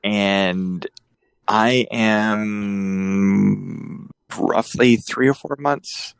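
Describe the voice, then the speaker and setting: A man's voice: on the low side, extremely slow, gravelly, clear, resonant tone, no room sound. A man hosting a casual podcast, rambling and joking with his co-host, often restarting his sentences.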